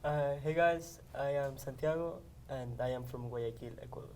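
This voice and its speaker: A man's voice: mid-range voice, moderate pace, a little mellow. A young man, a dancer and co-founder of the group, speaking casually with hesitant fillers.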